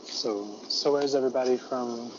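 A man playing a deadpan male ghost-tour guide who talks low and quietly, rambling with lots of fillers. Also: moderate pace, muffled recording, a little hiss or hum, natural voice.

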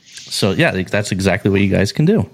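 A man's voice: low voice, lively intonation, normal speed. A man hosting a casual podcast, joking around and chatting easily with his co-host. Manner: joking, playful. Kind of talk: casual chat.

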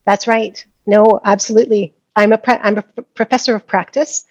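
A middle-aged woman from Canada answers interview questions in a thoughtful, searching way, often restarting her sentences. Her voice has a gravelly edge. The recording is dry and a little dull. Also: mid-range voice, darkish tone, dry recording. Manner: thoughtful, many restarts and fillers. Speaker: guest, professor of practice. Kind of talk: conversational interview.

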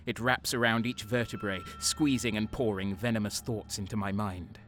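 A man's voice: on the low side, steady tone, normal speed, a bit bright, slight background noise, lightly acted. An actor playing a bitter, wounded guardsman who narrates his torment in a grim sci-fi war audio drama. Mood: grim, pained. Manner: brooding, grim. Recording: dramatic music behind the voice.

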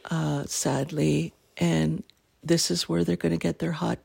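A middle-aged woman from Canada, hosting a podcast and telling a family story in casual chat, clearing her throat as she loses her voice. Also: sits low, level tone, measured pace, dark tone. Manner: laughing, self-deprecating jokes. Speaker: podcast host.

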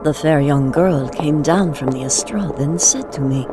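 A woman playing a wealthy lady who recounts her tale in measured, ornate, archaic English in an audio drama. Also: deep voice, music in the background. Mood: solemn storytelling.

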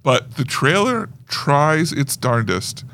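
A man's voice: a little high, sing-song, slow pace, sharp tone, slight background noise. A man co-hosting a podcast, talking casually in a movie review discussion. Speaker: podcast co-host.

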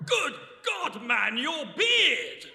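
A man's voice: very high voice, sing-song, very slow, vocal fry, full character voice. A man playing a pompous, dim-witted ship's captain in a radio play, given to amazed exclamations. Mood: astonished. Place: stage before a live audience. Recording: echoey live hall.

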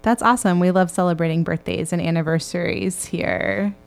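A woman's voice: lowish voice, steady tone, brisk, vocal fry. A woman hosting a podcast, asking a guest friendly, curious questions. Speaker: podcast host. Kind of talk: interviewing a guest.

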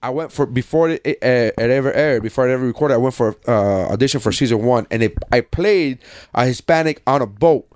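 A man's voice: mid-range voice, brisk, darkish tone, dry recording. A man hosting a podcast, telling stories in loose, casual, profane banter. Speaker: podcast host.

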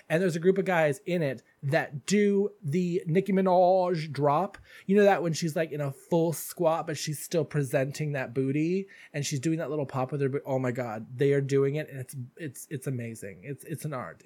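A man's voice: fairly high pitch, darkish tone. A man hosting a casual solo podcast, chatty and gushing, calling his listeners darlings, a little stuffy from allergies. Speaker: podcast host.

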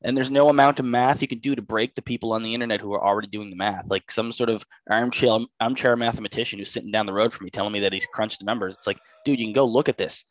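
A man's voice: on the low side, fairly even intonation, quick pace, hardly any pauses, no echo, poor audio. A man co-hosting a podcast, talking in a casual chat, exasperated and ranting, with a stuffy head cold. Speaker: podcast co-host.

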